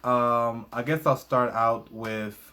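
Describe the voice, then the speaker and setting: A man's voice: medium pitch, fairly even intonation, slow, pushed and bright, clean tone. A young man whose first language is Spanish reviews a book in a casual vlog, hesitating often with fillers and glancing at his notes.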